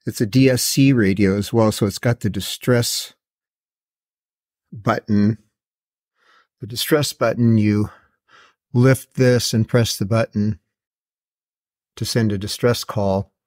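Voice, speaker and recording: A man's voice: lowish voice, fairly even intonation, slow pace, pauses often, a little mellow. A middle-aged or older man giving a casual, matter-of-fact product walkthrough as a video host, with a slightly wry, plain-spoken way of talking. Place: indoor garage workshop.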